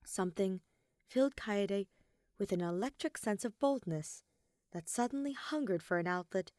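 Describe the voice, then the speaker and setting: A woman's voice: mid-range voice, lively intonation, normal speed, darkish tone, dry recording. A woman reading scripted fiction aloud as a story narrator, in a close recording.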